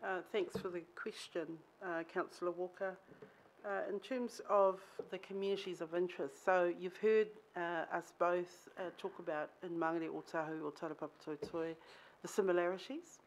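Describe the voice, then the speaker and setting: Low-voiced woman answering councillors. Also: fairly even intonation, a little hiss or hum, rough recording. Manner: careful, halting, many fillers. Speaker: local board member. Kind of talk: answering questions at a council meeting. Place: council chamber.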